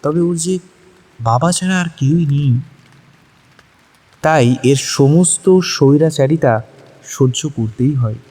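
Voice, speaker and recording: A man's voice: fairly high pitch, measured pace, long pauses, mellow, husky. A man narrating a scripted dramatic audio story. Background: background music.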